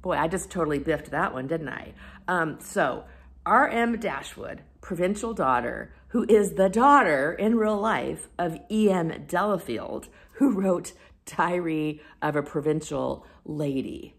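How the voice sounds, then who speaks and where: Lower-voiced woman chatting enthusiastically about books. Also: expressive, a little slow. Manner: enthusiastic, effusive. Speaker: book reviewer and video host. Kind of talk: casual chat to camera about books.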